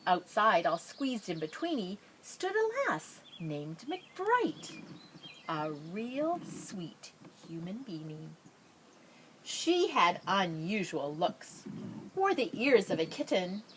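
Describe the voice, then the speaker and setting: Woman reading a picture book, very animated. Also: mid-range voice, a little hiss or hum, poor audio. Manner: drawn-out dramatic phrasing with playful asides. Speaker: storybook reader. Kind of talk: expressive children's picture-book read-aloud.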